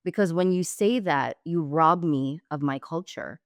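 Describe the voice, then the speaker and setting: A woman hosting a podcast, first generation in the US with Jamaican and Guyanese roots, talking in an animated, emphatic way. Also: low-pitched, unhurried, no room sound, clean studio sound. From Jamaican and Guyanese heritage, first generation in the US. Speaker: podcast host. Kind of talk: conversational interview.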